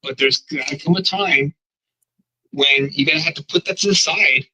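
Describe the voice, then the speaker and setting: A man talking through a head cold, his voice stuffy and a little husky. He rants emphatically and rambles in a casual podcast chat, with a bright, forceful edge to the sound. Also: fairly high pitch, moderate pace, lo-fi sound. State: congested from a cold. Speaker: podcast co-host.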